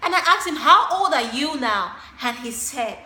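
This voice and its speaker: A woman's voice: high voice, lively intonation, sharp tone, full character voice. A woman acting an exasperated, dramatic single woman in a monologue, confiding in a girlfriend with theatrical, emphatic delivery. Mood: angry, incredulous. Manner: theatrical, emphatic, drawn-out stressed words. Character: exasperated, dramatic single woman venting about a disastrous date. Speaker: actress performing a monologue. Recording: roomy echo, faint background noise.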